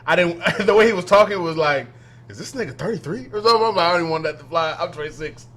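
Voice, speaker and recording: A man's voice: fairly high pitch, very fast, a little hiss or hum. A man in his twenties chatting on a podcast, telling stories with lots of laughter. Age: 20s. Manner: animated storytelling, laughs often. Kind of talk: casual podcast chat.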